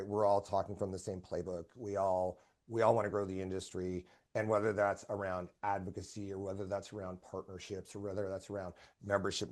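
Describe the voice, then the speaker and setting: A man who is a guest on a video-call interview, hoarse today, talking passionately and often repeating his words. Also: on the low side. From Canada. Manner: passionate, energetic, repeats himself. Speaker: guest, association president. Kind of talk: casual interview chat.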